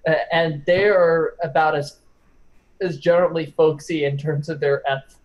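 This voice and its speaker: A young man chatting casually on a group call, hedging and halting as he goes, with some swearing. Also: on the high side, steady tone, lo-fi sound. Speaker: discussion participant. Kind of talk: casual chat.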